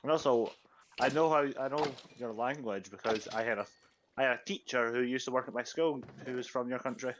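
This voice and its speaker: A man's voice: mid-range voice, fairly quick, a little hiss or hum. A British man chatting casually while playing a game with friends, lightly mocking them. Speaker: guest player. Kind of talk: casual gaming chat.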